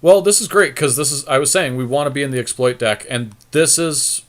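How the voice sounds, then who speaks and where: A man's voice: mid-range voice, brisk. A man with a mid-range voice talks through card picks as a podcast co-host. His delivery is casual and easygoing, as he tentatively works out his choices aloud. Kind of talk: casual card game draft commentary.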